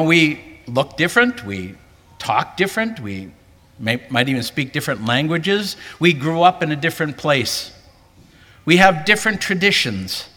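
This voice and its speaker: A male pastor giving an earnest sermon to a convention, lifting his voice for emphasis. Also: sharp tone. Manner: earnest, rising pitch for emphasis. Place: large hall, preaching to a convention. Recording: hall reverb.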